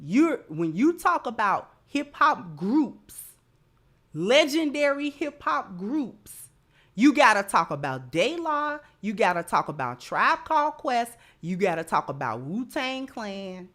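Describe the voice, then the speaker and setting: A woman's voice: a little high, big pitch swings, slow pace, a bit bright. An American woman hosting a live commentary show, speaking emphatically and repeating phrases to drive them home. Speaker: show host. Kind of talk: live commentary and sponsor read.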